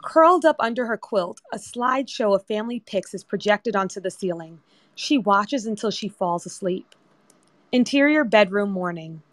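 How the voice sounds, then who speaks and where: A woman's voice: medium pitch, lively intonation, normal speed, no echo. A woman narrator reading screenplay directions as scripted narration. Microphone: remote audio chat app.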